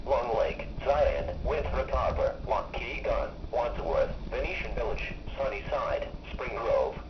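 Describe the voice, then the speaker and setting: An American man's synthetic-sounding voice reading a scripted emergency weather alert in a formal, impersonal way. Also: mid-range voice. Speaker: weather radio alert announcer. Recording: muffled, noisy weather radio broadcast, echoey.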